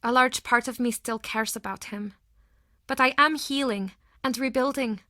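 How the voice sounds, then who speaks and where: A woman's voice: a little high, normal speed, pushed and bright, no echo, clean studio sound. An actress playing a proud, guarded townswoman nursing old wounds in a video game. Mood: guarded, regretful.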